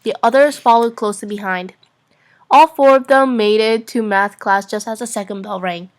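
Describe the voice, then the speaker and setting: A woman's voice: on the high side, normal speed. A young woman narrating fan fiction as an amateur dramatic reading, playful and sometimes stumbling over her lines.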